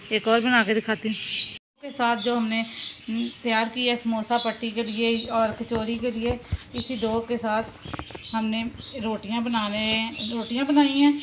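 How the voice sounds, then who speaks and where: Woman, home cooking host, slightly high voice, phone recording with loud noise and birds behind. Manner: calm, instructive, talks while working with hands. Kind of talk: step-by-step cooking demonstration. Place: home kitchen.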